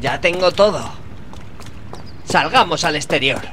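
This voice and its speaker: A man's voice: fairly high pitch, animated, measured pace. A man playing an anxious, determined young fantasy hero in a Castilian Spanish video game dub, speaking in short exclamatory phrases. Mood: focused, relieved. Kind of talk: dramatic video game dub, talking to himself. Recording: clear background noise under the voice.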